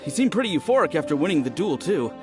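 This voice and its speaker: Game NPC: lively, gossipy villager, slightly high, with game music behind. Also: male voice, quick pace. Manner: storytelling, animated. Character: chatty adult male villager, gossipy. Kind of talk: video game voice acting.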